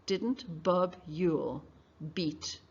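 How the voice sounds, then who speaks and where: A woman reading the part of a patient, middle-aged male defense lawyer, questioning in a measured way. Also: lowish voice, a little mellow, slightly rough, full character voice. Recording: dull lo-fi recording.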